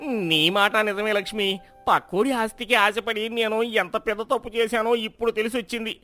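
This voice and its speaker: A man's voice: high voice, fairly even intonation, normal speed, pushed and bright, faint music behind. A man playing a greedy, self-pitying village husband in a moral tale, with dramatic emotional delivery. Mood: remorseful.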